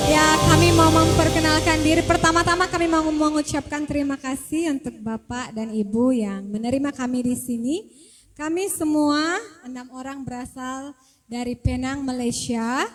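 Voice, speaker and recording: A woman's voice: high-pitched, a bit bright. A woman from a visiting mission team introducing herself to a church in a friendly, chatty way. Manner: friendly, chatty, light laughter.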